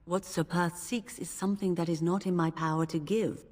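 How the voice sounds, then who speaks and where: A woman's voice: fairly low pitch, steady tone, moderate pace, a little mellow, lightly acted. An actress playing a grave, principled revered mother in a fantasy video game, speaking formally and piously. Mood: solemn. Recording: long reverberant hall echo.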